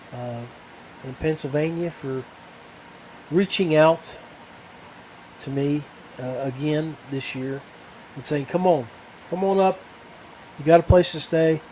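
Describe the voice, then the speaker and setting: An American man hosting a podcast in a casual, rambling way, halting as he searches for words. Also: fairly high pitch, darkish tone, a little hiss or hum, poor audio. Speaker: podcast host.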